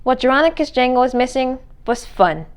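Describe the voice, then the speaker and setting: A woman's voice: high voice, expressive, a little fast, clear, resonant tone. A woman reading a children's storybook aloud as narrator. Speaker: read-aloud reader.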